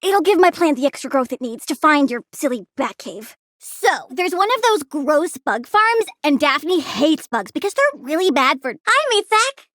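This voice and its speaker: Game casting: menacingly cheerful plant-powered villain girl, very high voice, swinging delivery, blunt and bratty. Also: very animated, fairly bright tone, no echo, clean studio sound. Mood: curious, blunt. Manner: childlike, blunt, playful, quick-tempered. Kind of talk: video game character voice lines.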